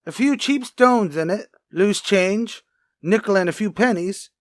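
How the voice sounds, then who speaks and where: Man acting as police lab tech, high pitch, wry, casual, dry sound, radio crime drama. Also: no echo. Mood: brisk. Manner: wry, sarcastic, brisk, casual.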